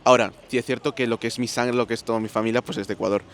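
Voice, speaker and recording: A young man from Spain answering interview questions in a relaxed, anecdotal way. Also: mid-range voice, rapid-fire. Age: young adult. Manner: relaxed, anecdotal, fillers like pues.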